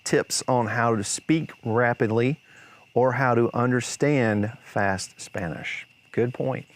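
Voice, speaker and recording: A man's voice: medium pitch, slow. Male podcast host, easygoing talk with anecdotes. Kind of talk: casual podcast chat.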